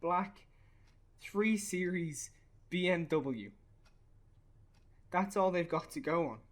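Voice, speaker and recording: A man plays a young Irish father consumed by grief and bitterness. His voice sits fairly high for a man. He speaks haltingly and swears, with an accusing edge, in a naturalistic radio drama. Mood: bitter anger. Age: young adult. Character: grieving, bitter, furious bereaved young father. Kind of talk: naturalistic radio play acting.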